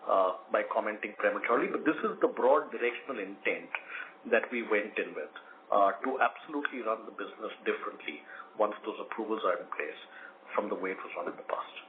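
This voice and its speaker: A man answering as a company executive on an earnings call, in measured corporate phrasing over a phone line. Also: on the low side. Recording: tinny phone line with faint noise.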